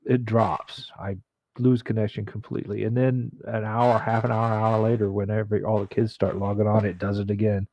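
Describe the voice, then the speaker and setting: A man's voice: lowish voice, little pitch movement, a little fast, a little mellow, dull audio. A man from the United States chatting casually on a stream, laid-back and saying "dude" and "like" often. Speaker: guest on stream chat. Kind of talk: casual chat.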